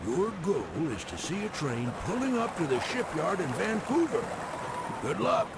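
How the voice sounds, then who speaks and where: A crusty old American railroad man, narrates a game cutscene with folksy, scolding bluster. His gravelly voice sits a little high and swings widely in pitch. Heavy noise runs behind him. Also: wildly swooping pitch, normal speed, full character voice. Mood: storytelling. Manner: folksy, scolding, colorful old-time slang.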